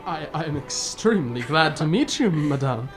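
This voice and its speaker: A deep-set, lively voice of a woman acting as an older English gentleman in a radio play. He is genial and gallant, with old-fashioned courtesy and a slightly flustered way about him. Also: normal speed, faint music behind.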